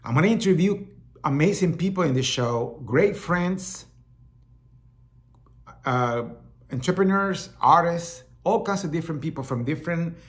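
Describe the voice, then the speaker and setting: A man's voice: a little high, lively intonation, unhurried. A middle-aged man from El Salvador with a Salvadoran Spanish accent, hosting a talk show in a casual, rambling monologue that often repeats words. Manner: rambling, repeats words, acts out quoted voices.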